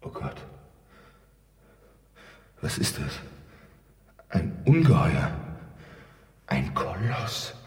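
Scornful bodyguard, low, swooping voice. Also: male voice, sing-song, extremely slow, pauses often, darkish tone, heavily acted. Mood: awed, frightened. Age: middle-aged. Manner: blunt, emphatic, declamatory, mocking. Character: gruff, scornful broad-shouldered professional bodyguard, proud and contemptuous. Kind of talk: theatrical radio drama dialogue. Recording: echoey hall, distant from the mic.

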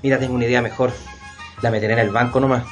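An actor playing a lazy, complacent rich husband in a radio drama, talking in a casual, colloquial way. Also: male voice, medium pitch, little pitch movement, very fast, faint music behind, lightly acted. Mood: smug, flirty.

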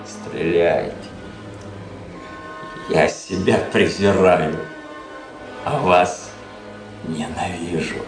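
An actor playing a proud young officer facing death in a duel, strained, bitter and defiant, in a radio drama. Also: male voice, deep voice, fairly even intonation, very slow, pauses often. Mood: bitter hatred. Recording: echoey radio recording with music.

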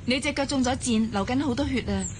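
A woman playing a concerned, inquisitive doctor on an expedition in a radio drama, earnest and expressive. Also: high voice, quick pace, background noise, lightly acted. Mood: concerned, urgent. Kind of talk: Cantonese radio drama acting.

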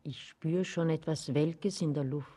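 A woman's voice: deep voice, a little slow, mellow, husky. An actress playing a pensive, melancholy old grandmother in a German radio play, musing wistfully.

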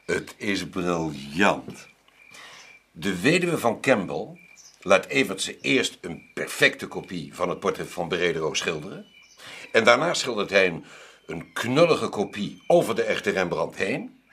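An actor playing a genteel, generous middle-aged art owner in a radio drama, husky, courteous and measured, savouring his phrases. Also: sits low, big pitch swings, a bit bright, exaggerated voice. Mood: admiring.

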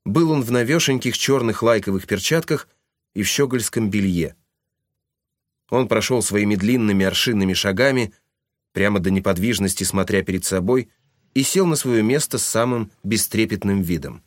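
A man narrating a literary audio drama in a scripted, dramatic storytelling style. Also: lowish voice. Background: music.

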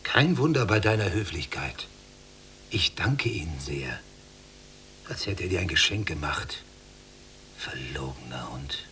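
An actor playing a brooding, embittered prisoner in a German radio drama, with a gravelly voice and a sardonic, often hushed delivery. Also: male voice, rumbling low, animated, a little slow, pauses often, slight background noise, full character voice. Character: brooding, embittered male prisoner, younger cellmate. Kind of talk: German radio drama acting, literary monologue.

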